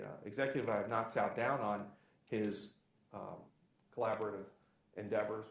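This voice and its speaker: A man with a fairly low voice explains things at length as a county official on a panel. His talk runs on in long, loose sentences. The meeting room around him is echoey. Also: darkish tone. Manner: talks in long, rambling explanations.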